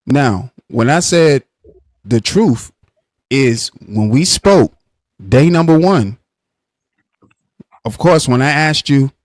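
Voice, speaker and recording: Brief: male live-stream host, mid-pitched, blunt and insistent, dry close sound. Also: a little mellow, no room sound. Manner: blunt, insistent, repeats himself. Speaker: livestream host. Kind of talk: live stream commentary and argument.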